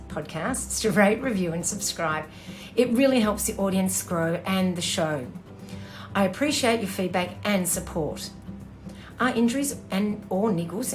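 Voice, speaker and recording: A woman who hosts a podcast and coaches runners, reading a scripted intro and ads in an earnest, friendly way. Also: fairly low pitch. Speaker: podcast host and running coach.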